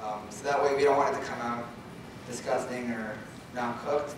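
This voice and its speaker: A man's voice: medium pitch, steady tone, normal speed. Young man, blunt student presenter fielding questions; distant mic, roomy echo. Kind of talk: answering questions after a pitch. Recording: echoey room, far from mic, noisy.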